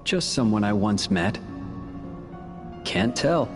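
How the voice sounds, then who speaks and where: A man playing a brooding, resolute young warrior in a video game cutscene, calm, measured and earnest. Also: fairly low pitch, over music. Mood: reflective.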